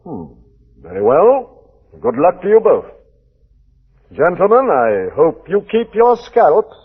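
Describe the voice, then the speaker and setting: High, animated police inspector. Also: male voice, exaggerated voice. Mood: grave. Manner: brisk, commanding, formal. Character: authoritative police inspector commanding a frontier fort. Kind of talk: 1940s radio acting. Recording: tinny, narrow 1940s radio recording.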